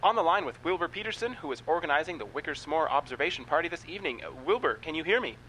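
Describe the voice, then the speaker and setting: A polite local morning host played by a man fronts a comic radio show. His bright, fairly high voice is lively in pitch, with faint noise behind it. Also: racing, rough recording. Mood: polite, hesitant.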